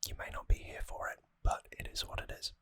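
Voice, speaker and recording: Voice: fairly quick, a bit bright. An ASMR host whispering close to the microphone in a musing, conversational commentary style. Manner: musing, conversational trivia talk. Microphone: close microphone, dry sound.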